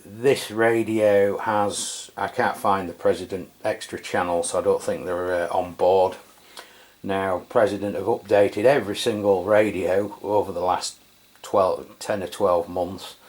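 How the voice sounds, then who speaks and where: A middle-aged man giving a casual, chatty walkthrough of a radio, with frequent fillers and self-corrections. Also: low-pitched. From England. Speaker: radio equipment reviewer. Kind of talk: casual product review walkthrough.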